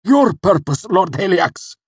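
A man voicing a cruel, arrogant henchman in a fantasy audiobook, cowed into servility, with a strained, breathy delivery. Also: on the low side, animated, slow, dry recording, studio quality, pitched above the performer's own voice, exaggerated voice. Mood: agonized, choked. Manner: snappish turning to choked, submissive pleading.

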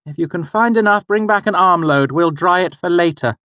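Brief: a male narrator voicing a firm, coaxing mother, lifted higher, dry close sound. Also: a little fast, bright, forceful tone, no echo. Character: firm, wise mother, a traveling actress.

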